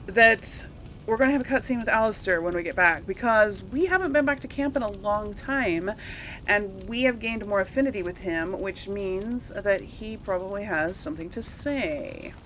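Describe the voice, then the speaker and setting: A woman's voice: mid-range voice, normal speed, a bit bright, slight background noise, poor audio. A woman hosting a gameplay video in casual commentary, rambling and thinking aloud as she repeats herself.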